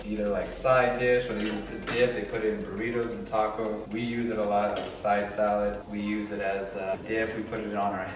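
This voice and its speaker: A man's voice: fairly low pitch, moderate pace, hardly any pauses, very echoey, far from the mic, music in the background. A man co-hosting a home cooking tutorial, explaining the recipe in plain, loose everyday speech. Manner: relaxed, plain-spoken, loose phrasing. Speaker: co-host, host's husband.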